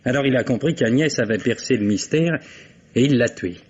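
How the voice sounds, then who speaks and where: An actor playing an earnest, inquisitive and deferential police assistant who questions haltingly in a vintage French radio mystery. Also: male voice, mid-range voice, slightly rough, close to an everyday voice. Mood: puzzled, curious. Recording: dull vintage radio drama recording.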